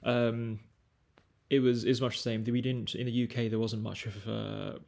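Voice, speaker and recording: A man in his twenties from the UK, hosting a casual podcast chat in a measured, unhurried way. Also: medium pitch, flat delivery, vocal fry, darkish tone. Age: 20s. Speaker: podcast host.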